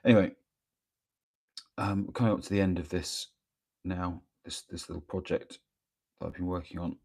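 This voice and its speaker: A man talks in a very low, gravelly voice that has gone croaky from a cold. He rambles hesitantly and casually, full of pauses and restarts, as he chats to viewers at home. His tone stays husky throughout. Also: little pitch movement, darkish tone. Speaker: miniature painter streaming live. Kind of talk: casual live-stream chat while working. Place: home workspace, early morning. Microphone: microphone near the speaker.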